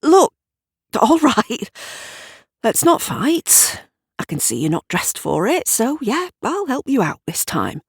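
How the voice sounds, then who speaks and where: A woman's voice: on the high side, huge pitch swings, slow pace, slightly rough, dry recording, studio quality, pitched above the performer's own voice, heavily acted. A woman voicing a shifty, grumbling male small-time crook in an audio drama, pressing and blustering. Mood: placating, grudging.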